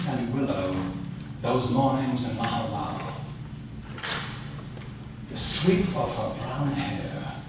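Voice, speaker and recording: A man reciting poems at a live reading with deliberate phrasing and pauses. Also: medium pitch. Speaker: poetry reader at an event. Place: auditorium stage at a public reading. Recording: echoey auditorium, distant mic, noisy.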